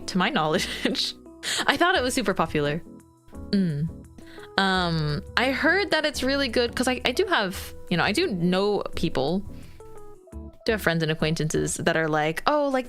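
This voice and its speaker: A woman's voice: lowish voice, fairly bright tone. A young woman streamer chatting casually with her viewers as she draws, rambling with fillers and frequent giggles. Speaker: streamer chatting with chat while drawing. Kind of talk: casual live-stream chat.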